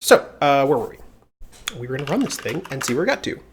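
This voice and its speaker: A slightly congested man chatting casually on a live coding stream, thinking aloud with plenty of filler pauses, into a mounted mic at a home desk. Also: medium pitch, very animated, slight background noise. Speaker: livestreamer doing security research. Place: home desk with mechanical keyboard. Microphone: mounted desk studio mic.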